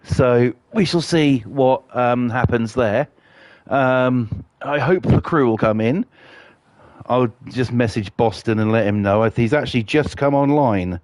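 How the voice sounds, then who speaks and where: A mid-pitched man with a cold chats away while he streams, rambling and full of fillers. He swears casually as he talks. The sound is close and dry. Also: unhurried, darkish tone, no echo. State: has a cold. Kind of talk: casual game stream chat.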